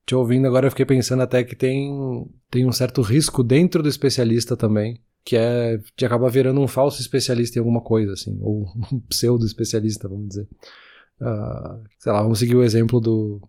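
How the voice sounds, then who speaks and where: A man, co-hosting a podcast in relaxed casual chat, full of fillers. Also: mid-range voice, brisk. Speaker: podcast co-host.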